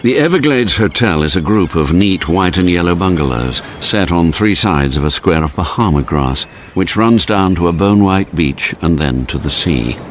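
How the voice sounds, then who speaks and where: An actor in a radio drama, playing a suave secret agent and narrating in a dramatic style. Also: male voice, sits low, lively intonation, moderate pace, hardly any pauses, slight background noise. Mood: measured suspense.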